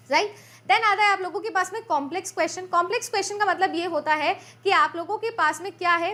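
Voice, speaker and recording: A woman's voice: very high-pitched, fairly bright tone. A woman teaching an exam-prep lecture in a chatty way, often repeating her points and asking her students questions as she goes. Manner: mixes Hindi and English terms.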